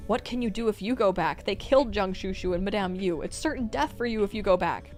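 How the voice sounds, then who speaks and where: A woman's voice: mid-range voice, fast talker, darkish tone, faint music behind, natural voice. A woman voicing an urgent, protective young man who pleads with raised voice in a dramatic story reading. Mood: urgent pleading. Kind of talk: audiobook-style dramatic character reading.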